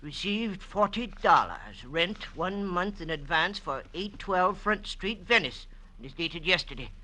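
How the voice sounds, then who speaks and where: A fussy older gentleman collector, high-pitched and very sharp-toned. His delivery swings up and down, flustered and genteel with small stammers, in old-time radio drama style. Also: lively intonation, unhurried, heavily acted. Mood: puzzled, eager. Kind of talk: 1940s radio drama acting.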